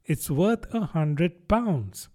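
Storybook young girl, played by a man: high, lilting, polite, earnest, curious chatterer. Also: expressive, a little slow, darkish tone. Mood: eager chatter. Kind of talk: storybook character voice.

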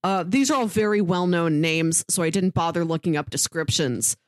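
A woman's voice: fairly low pitch, normal speed, no echo, studio quality. A woman co-hosting a podcast in casual banter, chatty and wry, with a playful way of talking. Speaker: podcast co-host.

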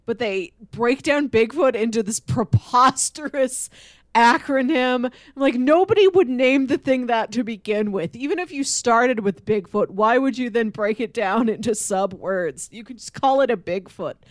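A woman's voice: on the high side, moderate pace, no echo. A woman co-hosting a casual comic book discussion, explaining things in a conversational, wry way. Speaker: podcast co-host.

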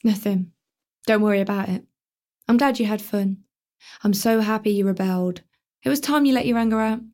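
Actress as sarcastic teen girl, quick-tempered, mid-pitched voice. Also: darkish tone, close to an everyday voice. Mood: wry. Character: teenage schoolgirl, dramatic, quick-tempered, wry. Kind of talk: radio drama acting.

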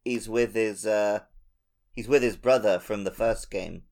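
A man's voice: lowish voice, unhurried, gravelly, a little mellow. A man co-hosting a gaming podcast, talking in a casual, conversational way. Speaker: podcast co-host.

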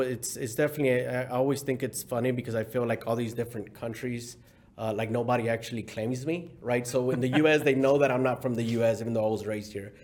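A man's voice: mid-range voice, darkish tone. A middle-aged man born in Mexico, a company CEO as guest, talking in an easygoing way with fillers and restarts. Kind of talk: casual interview talk.

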